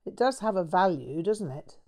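A woman's voice: fairly low pitch, lively intonation, moderate pace, darkish tone. A woman voicing a brash, foul-mouthed English man who is bitter, manic and full of slang in an audiobook. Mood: defiant. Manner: swearing, slangy, bursts of manic cackling. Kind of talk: audiobook character voice.

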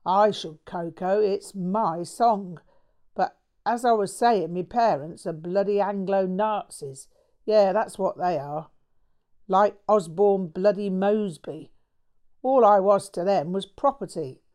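A woman's voice: medium pitch, slow pace, warm tone, lightly acted. A woman voicing a brash, foul-mouthed English man who is bitter, manic and full of slang in an audiobook. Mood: defiant. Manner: swearing, slangy, bursts of manic cackling. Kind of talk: audiobook character voice.

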